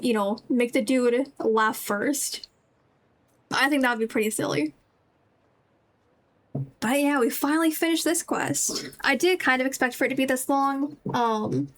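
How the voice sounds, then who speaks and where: Female streamer, high voice, chatty and enthusiastic, casual livestream delivery. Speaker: VTuber game streamer.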